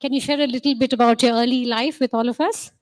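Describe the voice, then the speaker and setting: A woman with a slightly raised pitch leads a festival stage interview. She asks gentle, encouraging questions and often pauses on fillers as she phrases them. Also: steady tone. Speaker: moderator.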